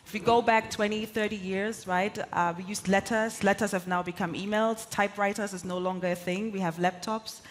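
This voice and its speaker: A woman's voice: medium pitch, steady tone, brisk, hardly any pauses, soft background music. A woman whose first language is German gives an earnest, emphatic conference talk, building her points in repeated lists. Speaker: keynote speaker.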